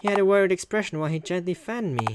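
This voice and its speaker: A woman's voice: lowish voice, very fast, background noise. A woman doing playthrough commentary and reading a visual novel story aloud, with game music behind her. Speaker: gaming YouTuber.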